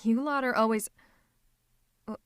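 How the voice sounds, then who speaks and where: A woman's voice: on the high side, steady tone, unhurried, clean tone, dry recording, close to an everyday voice. Teasing supervillain woman acting, close dry sound. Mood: smug. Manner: affectionate, teasing, theatrically menacing. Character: playful, teasing female supervillain fond of her hero. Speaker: voice actor. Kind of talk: ASMR roleplay acting, one-sided conversation.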